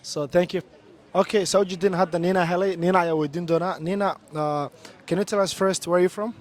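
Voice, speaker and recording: A young man with a Somali accent runs a street interview vlog outdoors in a city centre, recorded on a handheld camera. He talks very fast in a slightly raised voice, chatty and quick, jumping between two languages. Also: high voice, steady tone.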